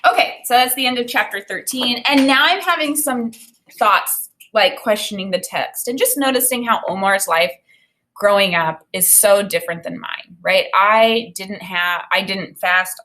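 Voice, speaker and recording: A woman in her thirties, an elementary teacher, reading a children's novel aloud and explaining it in an expressive way. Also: mid-range voice, moderate pace, low-quality recording. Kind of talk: read-aloud of a children's novel with think-aloud commentary. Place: small indoor room with bookshelf and whiteboard.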